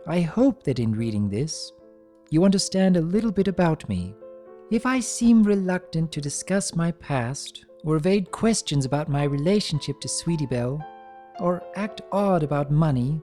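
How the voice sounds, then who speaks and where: A performer voicing a refined, sincere young female pony reading out a diary in a genteel, earnest, measured way. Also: medium pitch, very animated, mellow, faint music behind, close to an everyday voice. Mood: earnest, wistful. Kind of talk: dramatic reading of diary entries.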